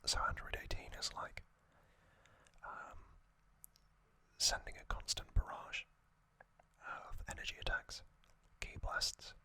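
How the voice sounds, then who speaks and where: An ASMR host whispering an explainer in a hushed delivery, with frequent pauses.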